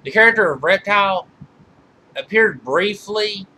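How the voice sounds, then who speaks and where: Guy doing movie trivia, kinda high bright voice, trips over words and fixes them, bit of background noise. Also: animated, poor audio. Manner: halting, often corrects himself mid-sentence. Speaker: movie reviewer. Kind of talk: informal film trivia commentary.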